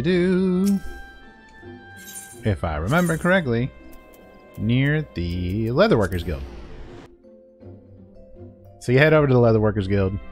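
A man giving a casual, jokey walkthrough over gameplay, playful and informal in the way he talks. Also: on the low side. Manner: playful, informal, voices paraphrased dialogue and silly sound words. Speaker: gaming video creator giving a quest guide. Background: music.

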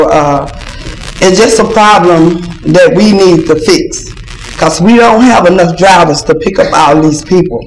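A woman who drives buses, speaking plainly and earnestly during public comment at a board meeting. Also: on the low side. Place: large council chamber. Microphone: podium microphone, heard from a far camera. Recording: echoey chamber, background noise.

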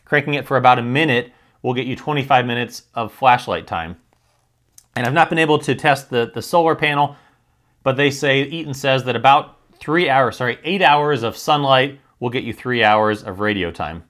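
A man's voice: medium pitch, normal speed, fairly bright tone. A man reviewing and demonstrating a product in a casual, candid, conversational way with dry humour.

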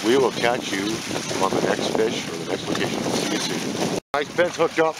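A man's voice: medium pitch, big pitch swings, normal speed. Man, fishing vlogger, upbeat, chatty, outdoors on rocky shore, heavy wind noise. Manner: chatty, upbeat, many fillers. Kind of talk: casual chat to camera. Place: rocky lakeshore outdoors, windy. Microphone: camera mic in strong wind. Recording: loud wind and waves on mic.

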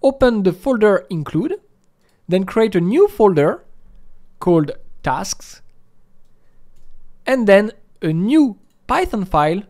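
A man with a high-pitched voice presents a step-by-step software tutorial. His intonation moves up and down a lot as he explains each step in an instructional manner.

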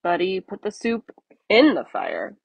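A woman narrates a children's story with lively, swinging intonation. Her voice is slightly breathy and husky, recorded dry and close. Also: mid-range voice, measured pace, no echo, highs cut off. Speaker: storybook reader. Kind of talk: expressive children's read-aloud narration.

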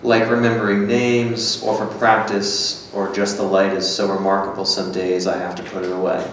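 A man acts out a guarded, melancholy man in a staged play reading. His voice is low and brooding. It is picked up from a distance in a very echoey room. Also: monotone, moderate pace, a bit bright, close to an everyday voice. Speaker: performer reading a script. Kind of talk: staged reading of a literary dialogue. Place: small gallery room before an audience. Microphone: stand microphone, heard from a distant camera. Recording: echoey room, distant mic, faint noise.

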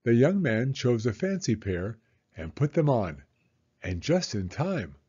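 A man reading a children's storybook aloud as narrator, with expressive, deliberate phrasing and slightly dull sound. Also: mid-range voice, slightly rough.

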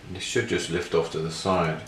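Male hobbyist repairer, deep-pitched, matter-of-fact, talking through his work in an echoey room. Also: steady tone, normal speed, noisy background. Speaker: repair hobbyist demonstrating a restoration. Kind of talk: casual hands-on tutorial commentary, thinking aloud while working. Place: indoors at a repair workbench. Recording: roomy, clear handling clatter and clicks.

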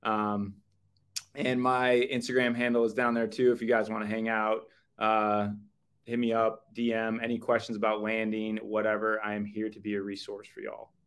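A man with a Chicago accent introducing himself as a guest on a video-call webinar, friendly and relaxed. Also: on the low side, normal speed, clean tone. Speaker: company vice president, webinar guest.

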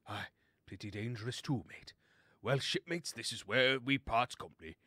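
A man's voice: mid-range voice, moderate pace, pushed and bright, breathy edge, no room sound. A man voicing a friendly giant sea lion with the kindly manner of an old sailor, in a storybook. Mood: matey. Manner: matey, kindly.

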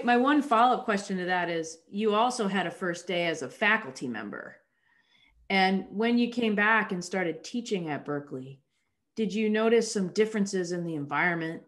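Woman host, lower voice, steady follow-up questions over a webcam chat. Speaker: interviewer. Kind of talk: casual video call interview.

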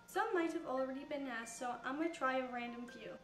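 A teenage girl from Canada with a high-pitched voice, chattily answering fan questions in a casual Q&A. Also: quick pace, echoey room, music in the background. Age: teens. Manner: chatty, rambling with fillers. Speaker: YouTuber answering Q&A. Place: room with couch, recorded in a reacted video.

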